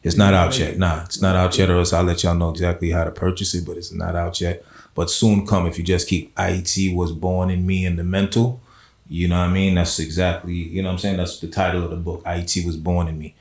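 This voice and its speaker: A man's voice: deep voice, level tone, a little fast, a little mellow, reverberant. A man, a poet and spoken word artist, talking casually as an interview guest with a laid-back, rambling manner full of fillers. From South Florida.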